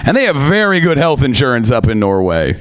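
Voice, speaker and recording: A man's voice: medium pitch, big pitch swings, brisk. A man doing a rambling, self-deprecating stand-up comedy set, laughing at his own jokes. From Norwegian-American. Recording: noisy live radio broadcast.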